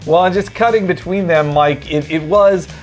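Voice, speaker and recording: A man's voice: sits high, moderate pace, faint music behind. A young man giving casual, conversational commentary as a video game pundit.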